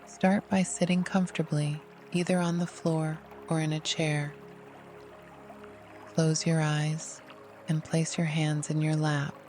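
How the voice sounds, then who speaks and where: A meditation guide giving scripted, gentle, soothing instruction in an unhurried way. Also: medium pitch, flat delivery, faint music behind.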